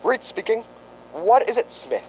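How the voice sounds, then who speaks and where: A pompous male psychic investigator voiced by an actor reports in formal, deliberate phrases. His fairly high voice moves widely in pitch, in the manner of old radio drama, over a faint rumbling noise. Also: very animated, darkish tone, poor audio. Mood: impatient. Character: grave, self-important male psychic investigator doctor.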